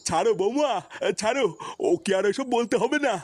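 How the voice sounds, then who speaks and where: A man's voice: extremely high pitch, animated, fairly quick, no room sound. A man voicing an aching, grumbly old grandfather in a comic cartoon, groaning and feeling sorry for himself. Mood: weary, scolding. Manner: groaning, self-pitying, complaining.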